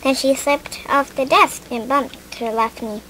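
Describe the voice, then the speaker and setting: A female reader giving an expressive, sing-song reading of a rhyming children's picture book. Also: high voice, measured pace, slight background noise, poor audio. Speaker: storybook reader.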